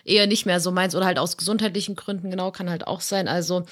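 A woman's voice: lowish voice, quick pace. Chatty woman co-hosting a podcast. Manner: chatty, lively, with fillers. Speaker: podcast co-host. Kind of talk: casual podcast chat.

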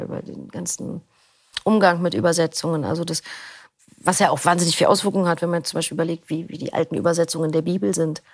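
A middle-aged woman with a low, gravelly voice, talking through her answers in a loose, thoughtful way. She restarts often and fills pauses with small hesitations. The sound is dry and close. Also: no echo. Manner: thoughtful, rambling with restarts and fillers. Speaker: interview guest, audiobook narrator. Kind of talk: casual interview answers.

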